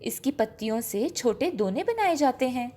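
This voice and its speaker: A woman's voice: a little high, lively intonation, normal speed, dark tone. A woman voicing a friendly uncle who works as a forest guide, explaining things in a children's story. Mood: cheerful, explaining. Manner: whispering, explanatory.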